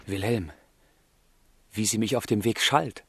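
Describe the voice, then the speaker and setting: An actor playing a passionate, lovesick young gentleman in a German radio drama, with a fervent, confiding delivery and a husky voice. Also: on the low side, unhurried, no room sound. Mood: rapturous, tender.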